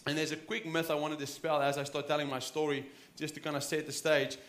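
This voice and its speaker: A man's voice: on the high side, flat delivery, fast talker, fairly bright tone, reverberant. A man in his thirties with a South African accent, preaching an earnest, conversational sermon with frequent restarts. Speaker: pastor. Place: church sanctuary.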